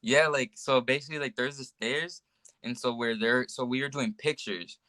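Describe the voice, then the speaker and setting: A man's voice: mid-range voice, steady tone, normal speed, sharp tone, no echo. A teenage boy guest from the United States telling personal stories casually in a rambling way, saying "like" very often. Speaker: guest, young social media influencer.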